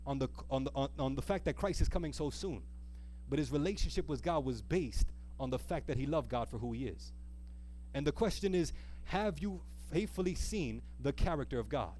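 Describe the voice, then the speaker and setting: A man preaching an earnest, exhorting sermon, pressing rhetorical questions and repeating key phrases with deliberate, slowed emphasis. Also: on the high side, a little mellow, slight background noise. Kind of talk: sermon with a closing appeal.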